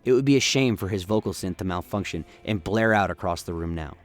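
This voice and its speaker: A man's voice: low voice, brisk, faint music behind. A man giving scripted, dramatic narration of a story as the narrator of a radio play.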